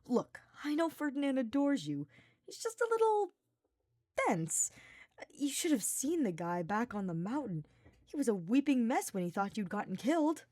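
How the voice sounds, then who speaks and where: A woman's voice: a little high, big pitch swings, normal speed, a little mellow, dry recording, pitched above the performer's own voice. A woman acts out a young knight for a fantasy audio story. He is cheerful, teasing and full of schemes, talking like a casual old buddy in a lifted voice. The sound is very dry. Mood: awkward, reassuring.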